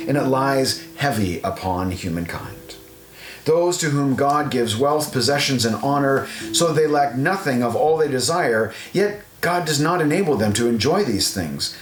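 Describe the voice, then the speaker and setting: Guy reading bible audiobook, solemn and measured, mid pitch, echoey room w music under it. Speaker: audiobook narrator. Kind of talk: scripted scripture reading. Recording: roomy echo, music underneath.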